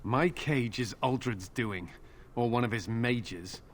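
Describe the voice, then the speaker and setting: A man plays a captive video game character with a mid-pitched voice, talking gravely and with dark warnings. His delivery is measured and ominous. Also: a little hiss or hum, lightly acted. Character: imprisoned man, gloomy and warning.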